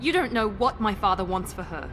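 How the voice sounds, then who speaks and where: An actress performs a woman operative for a video game, proud and guarded. Her delivery is intense and emphatic at a middle pitch, with music playing clearly behind her. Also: animated, fairly quick, close to an everyday voice. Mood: defiant. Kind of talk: dramatic video game acting.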